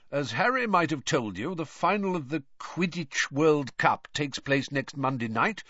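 A man's voice: medium pitch, a bit bright, no echo, dull audio. A man reading out a kindly mother's polite letter in a formal, courteous manner for a storybook.

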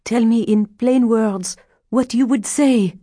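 Narrator lady doing a desperate jealous peasant woman in a storybook, intense, voice pitched way up. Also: slow pace, mellow, no room sound, studio quality. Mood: urgent, demanding. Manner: intense, exclamatory, pitched up in agitation. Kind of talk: audiobook character dialogue.

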